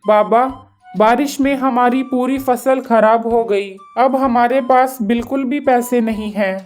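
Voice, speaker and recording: Woman as an earnest, slow-talking pigeon husband. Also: fairly high pitch, steady tone, a little mellow, faint music behind, lightly acted. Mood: distressed. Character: earnest male pigeon, worried husband and father. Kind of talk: children's cartoon story acting.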